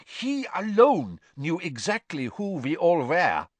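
A man voicing a frightened, unctuous middle-aged male prisoner who pleads in a hurried, breathless way. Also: on the high side, huge pitch swings, no echo, pitched above the performer's own voice, exaggerated voice. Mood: hurried groveling.

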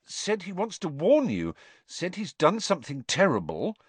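A man's voice: a little high, wildly swooping pitch, moderate pace, breathy edge, no echo, pitched above the performer's own voice, heavily acted. A man voicing a panicked, breathless, insistent teenage boy wizard in an audiobook, urgent and stumbling over words. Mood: anxious, hurried.

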